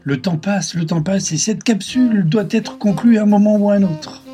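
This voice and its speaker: A man's voice: sits high, normal speed, dark tone, faint music behind. A man hosting a radio segment, introducing a columnist and chiming in briefly. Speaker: radio host.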